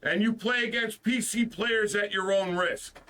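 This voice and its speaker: Stuffed up guy venting on a gaming stream, high bright voice, swears a lot and repeats himself, sounds a bit far from the mic. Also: fairly even intonation, measured pace. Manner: frustrated, profane, repeats himself. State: stuffed up from the flu. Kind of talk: casual ranting stream talk.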